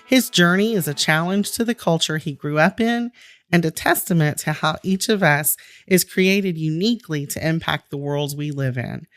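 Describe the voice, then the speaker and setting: A woman's voice: sits low, moderate pace, no room sound, faint music behind. A woman hosting a podcast, reading a scripted introduction and interviewing a guest with short, attentive affirmations. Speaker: podcast host.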